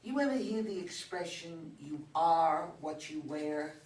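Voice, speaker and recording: Woman acting a low-voiced, indignant woman. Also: measured pace, darkish tone. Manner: pointed, disapproving. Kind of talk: staged play reading. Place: echoey room at a live reading. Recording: echoey room, distant mic, faint noise.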